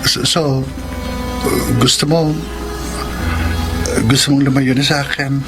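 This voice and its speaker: Guy acting young friend in radio romance, slow halting pleading, earnest, music behind him. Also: mid-range voice, little pitch movement, lightly acted. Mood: hurt, hesitant. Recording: music under the voice.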